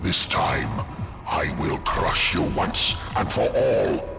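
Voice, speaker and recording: Game villain: cruel demon lord, deep swooping growl, heavy rasping fry, taunting. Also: male voice, sing-song, music in the background, exaggerated voice. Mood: gloating threat. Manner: slow, taunting, bellowing. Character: huge menacing demon lord, mocking and cruel.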